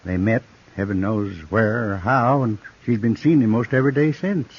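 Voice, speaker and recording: A man's voice: lowish voice, normal speed, husky. An actor playing a worried, earnest townsman in a 1950s radio western, with an affable manner. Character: worried, well-to-do frontier townsman and guardian. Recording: dull 1950s radio recording.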